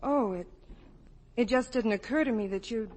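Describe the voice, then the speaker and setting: Woman acting a young american gal, sweet n earnest, lightish high voice, old time radio play. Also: normal speed, a little mellow, lightly acted. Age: young adult. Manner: earnest, polite. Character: sweet, spirited young woman visiting old family friends. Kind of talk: 1950s radio drama acting.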